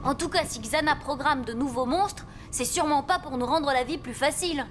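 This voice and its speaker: A woman voicing an excitable young teenage schoolkid in an adventure cartoon. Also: sits high, brisk, slight background noise. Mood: urgent. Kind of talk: animated series dubbing. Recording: music behind.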